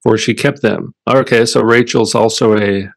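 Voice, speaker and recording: A man co-hosting a casual Bible study talk, thoughtful and halting, with a deep radio voice. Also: gravelly, dry recording. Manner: relaxed, thoughtful, halting with restarts. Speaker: Bible study podcast co-host. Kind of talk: casual Bible discussion and scripture reading.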